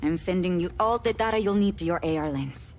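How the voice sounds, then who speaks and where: A woman's voice: on the low side, fairly quick, darkish tone, slight background noise, lightly acted. A woman playing a practical, direct rebel ally with a matter-of-fact manner in a video game cutscene. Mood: focused.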